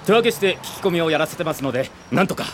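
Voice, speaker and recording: A man's voice: on the high side, lively intonation, close to an everyday voice. A man playing a dutiful junior police officer with a formal, urgent manner in a Japanese radio drama. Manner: brisk, formal. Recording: clear background noise.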